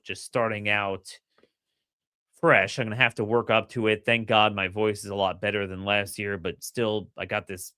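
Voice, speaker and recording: Bright, dry-voiced man, slightly hoarse. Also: lowish voice, normal speed, no room sound. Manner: energetic, opinionated, repeats words when starting sentences. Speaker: podcast host. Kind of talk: solo political commentary.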